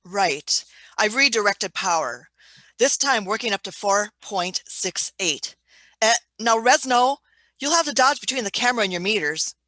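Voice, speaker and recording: A woman's voice: medium pitch, expressive, normal speed, pushed and bright, low-quality recording. A woman playing an obsessive, imperious scientist in a radio play reading, commanding and impatient. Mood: focused.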